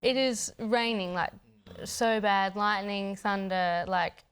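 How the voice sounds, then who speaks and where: Woman, interview guest, crisp and bright tone, halting with frequent fillers. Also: medium pitch. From Australia. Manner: hesitant, many fillers and light laughs. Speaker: guest footballer. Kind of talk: casual interview chat.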